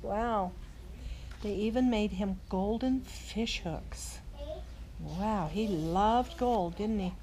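Slow-reading older woman, expressive storyteller. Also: medium pitch. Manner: simple, expressive, exclaiming asides. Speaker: grandmother reading a bedtime story. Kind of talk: children's storybook read-aloud with asides. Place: large indoor lodge room with fireplace. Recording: clearly noisy room, ambient sound.

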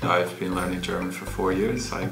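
A man's voice: sits low, flat delivery, a little fast, gravelly. A young man answering interview questions thoughtfully, with frequent fillers. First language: English. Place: bright room with large windows. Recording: echoey room, music behind.

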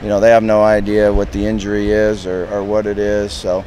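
A man in his fifties, a head football coach, answering reporters outdoors at a stadium in a plain, measured way with lots of "you know" fillers. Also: fairly low pitch, monotone, a little mellow, slight background noise, low-quality recording. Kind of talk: post-game press interview.

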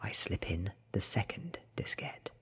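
Breathy whispering man reading a story. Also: fairly low pitch, sing-song, a little slow, fairly bright tone. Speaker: ASMR book reader. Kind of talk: soft-spoken ASMR story reading. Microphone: close studio microphone.

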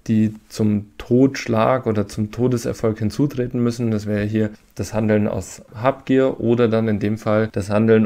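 A man's voice: fairly low pitch, creaky, a little mellow. A man giving methodical legal explanations in long sentences as an interview guest. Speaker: lawyer, expert guest.

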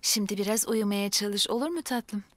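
An actress playing a caring young babysitter in a dubbed children's drama, speaking in a gentle, attentive and reassuring way. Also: female voice, mid-range voice, moderate pace, no echo, natural voice. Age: young adult. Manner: soothing, attentive.

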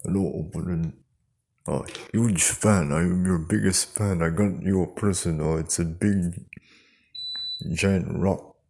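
A man chatting casually and haltingly, improvising as he goes and repeating words. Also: low-pitched, fairly even intonation, dark tone. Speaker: prank call video creator, host. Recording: noisy home recording.

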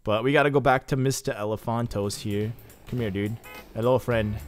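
A young man giving casual, excitable let's-play commentary over his gameplay, chatting with jokey asides. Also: medium pitch, moderate pace, soft background music. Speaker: gaming YouTuber.

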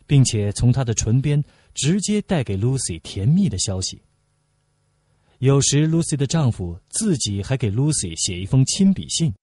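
A man reads literary prose as an audiobook narrator. His voice is warm and husky, with a lively rise and fall in pitch. He delivers it as scripted storytelling. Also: dry recording.

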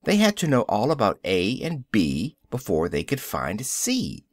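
A man's voice: mid-range voice, wildly swooping pitch, unhurried, heavily acted. A man voicing an adult male social scientist who lectures with a confident, explanatory cadence in an audiobook. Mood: lecturing. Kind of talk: audiobook character reading.

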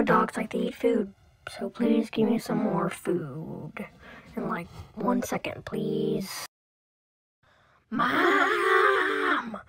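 Woman as a slow, whiny begging dog. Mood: demanding, frantic. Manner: begging, dramatic, drawn-out pleas. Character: whiny, pleading, ever-hungry pet dog. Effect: pitch-altering voice changer app. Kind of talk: home-made comedic pet voice-over.

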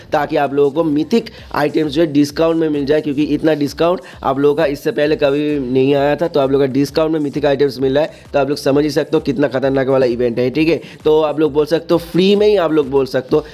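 Male gaming host, slightly high-pitched and very quick, casual explainer delivery with repeated check-in tags. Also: dark tone. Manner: repetitive, uses frequent check-in tags and English loanwords. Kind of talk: casual explainer narration over game screens.

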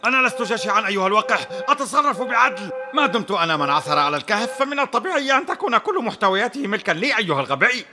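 A boastful greedy cartoon bear. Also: male voice, high-pitched, very animated, racing. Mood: indignant. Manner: boastful and scheming. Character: boastful greedy bear, young male, sly trickster. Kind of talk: dubbed cartoon acting. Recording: music playing behind.

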